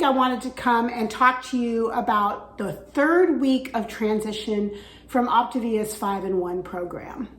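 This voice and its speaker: A woman vlogging about her weight-loss journey, chatty with frequent fillers, her voice weak from recovering from the flu. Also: on the high side. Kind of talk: casual instructional vlog. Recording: echoey room.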